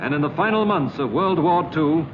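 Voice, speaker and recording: High-pitched British man narrating vintage newsreel-style film. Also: expressive, moderate pace, background noise. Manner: formal, literary, dramatic. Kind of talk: scripted 1950s documentary narration. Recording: dull vintage film soundtrack with music.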